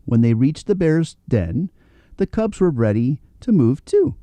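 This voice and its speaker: Very mellow, animated male storyteller. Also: fairly high pitch, measured pace, no echo. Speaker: storybook narrator. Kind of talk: scripted children's story read-aloud.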